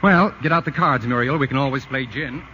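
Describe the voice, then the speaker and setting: A man's voice: mid-range voice, a little fast, highs cut off, loud music behind the voice, natural voice. A man playing a chatty, wry family friend in a 1940s radio drama. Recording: old radio broadcast, loud hiss.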